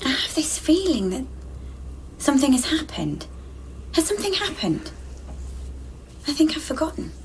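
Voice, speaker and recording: Hushed, eerie, clinging woman apparition. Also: high voice, sing-song, normal speed, long pauses, a little hiss or hum, poor audio. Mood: confused, searching. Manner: hushed, searching, many short simple questions. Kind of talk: intimate radio drama acting.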